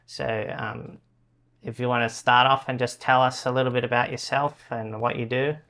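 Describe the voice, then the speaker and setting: Un entrevistador con voz clara y brillante, de tono medio, que da la bienvenida a su invitado de forma educada y algo vacilante, con muletillas. Habla con calma y cortesía. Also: male voice, mid-range voice, normal speed, vocal fry. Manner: polite, hesitant, with fillers. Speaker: interviewer. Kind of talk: interview introduction.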